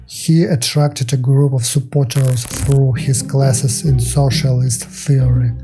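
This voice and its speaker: A man reading a scripted documentary narration in a measured, even way, steadily working through facts and figures. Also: medium pitch, over music, poor audio.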